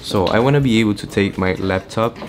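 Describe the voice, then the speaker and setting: A teenage boy from Brazil, Portuguese native, chatting excitedly in a casual vlog update from his small bedroom, rambling and restarting often. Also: on the low side, brisk, over loud music. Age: teens. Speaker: vlogger. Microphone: camera-mounted shotgun mic.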